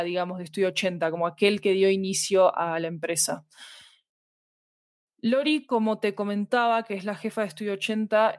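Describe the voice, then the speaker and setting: A woman's voice: medium pitch, dry recording, clean studio sound. A woman giving conversational interview answers, explaining things and checking in with short tag questions. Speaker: podcast fiction director being interviewed.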